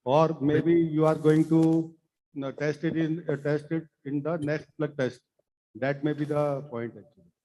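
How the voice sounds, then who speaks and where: A man's voice: on the high side, steady tone, moderate pace, dark tone. A man putting hesitant technical questions to presenters from the audience, in slightly muffled sound.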